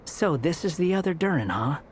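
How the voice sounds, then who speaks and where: A man's voice: a little high, lively intonation, brisk, soft background music, natural voice. An actor playing an aloof, brooding young man in a video game, with a dry, sardonic manner. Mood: wry, envious.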